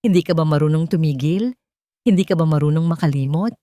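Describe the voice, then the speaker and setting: A woman's voice: low voice, a little fast, dark tone, dry recording, natural voice. A cold and cruel woman from an audio drama , acted out by a female narrator. She scolds harshly and gives orders with a short temper, in a dry, close recording. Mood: furious shouting.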